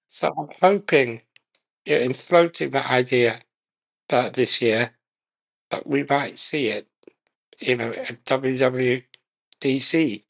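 A man speaking as a guest in an interview, measured and unhurried, speaking in short phrases with pauses. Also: medium pitch, gravelly, bright, forceful tone, poor audio. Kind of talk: conversational interview.

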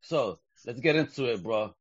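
A man's voice: medium pitch, lively intonation, normal speed, slightly rough, dry recording. A middle-aged man hosting a podcast in casual, slangy, hyped-up chat, sprinkling in Spanish greetings. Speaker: podcast host. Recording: dull lo-fi sound.

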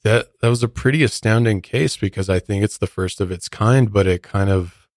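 A man's voice: fairly low pitch, moderate pace, no echo, clean studio sound. An American man co-hosting a podcast, chatting casually in conversational language. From United States. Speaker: podcast co-host.

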